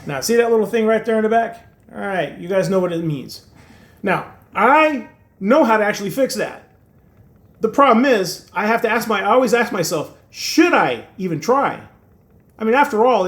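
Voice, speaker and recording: A man in his sixties who grew up in Turkey and speaks Turkish natively, talking casually to camera as host of his own channel, rambling with lots of fillers and wry self-deprecating humor. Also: high voice. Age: 60s. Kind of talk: casual talking-head monologue.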